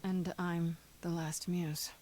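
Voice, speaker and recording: A woman's voice: on the low side, little pitch movement, slow, darkish tone. An actress playing an earnest, wounded but defiant young woman in a video game drama, with emotional delivery. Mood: defiant, grieving. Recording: game audio with faint background noise.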